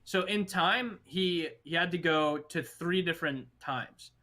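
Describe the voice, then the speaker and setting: A man co-hosting a casual movie discussion, talking in an animated, opinionated, emphatic way. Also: on the high side, unhurried. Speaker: podcast co-host.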